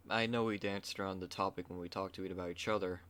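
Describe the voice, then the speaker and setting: A man's voice: deep voice, steady tone, fast talker, fairly bright tone. A man hosting a roleplay channel, talking casually in an outro. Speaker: ASMR roleplay channel host.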